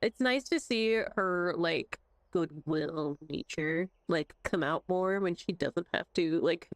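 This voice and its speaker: A woman's voice: fairly low pitch, slow, dry recording. A woman streamer giving casual, playful, chatty live reactions as she plays a game.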